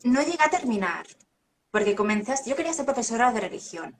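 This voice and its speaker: A woman's voice: fairly high pitch, sing-song, fast talker, slight background noise. A woman in her thirties, a guest evangelist, giving a personal testimony in an earnest, reflective way with hesitant restarts.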